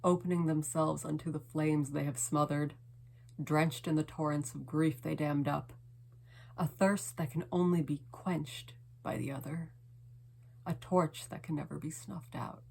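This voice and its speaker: Female poet, low register, unhurried dramatic delivery with hushed, lingering phrasing. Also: fairly even intonation, gravelly, husky. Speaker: poet reading own poem. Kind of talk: slow dramatic poetry reading.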